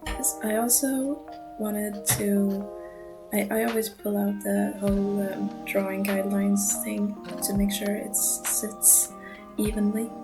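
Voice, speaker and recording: A young woman with a non-native English accent gives a casual, nervous voiceover over her drawing, speaking in a monotone and mumbling a little, with frequent small laughs. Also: medium pitch, slow pace. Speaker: art channel creator. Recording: music playing underneath.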